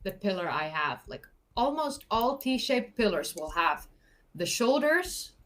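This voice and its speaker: A woman from North Holland, co-hosting a casual live history chat with quick, filler-heavy, self-correcting talk. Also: medium pitch, sing-song, fairly bright tone. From Netherlands, North Holland. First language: Dutch. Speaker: co-host, history presenter. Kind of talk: casual live-stream chat about archaeology.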